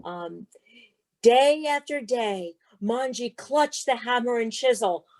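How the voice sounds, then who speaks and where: Higher-voiced woman, an expressive author. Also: slow. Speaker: author guest. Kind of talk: interview talk and expressive storybook read-aloud.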